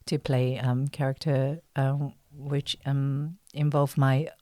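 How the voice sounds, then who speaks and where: A woman from China with a Chinese accent, an actress speaking casually as an interview guest, pausing to search for words in simple phrases. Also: extremely low pitch, level tone, mellow, no room sound.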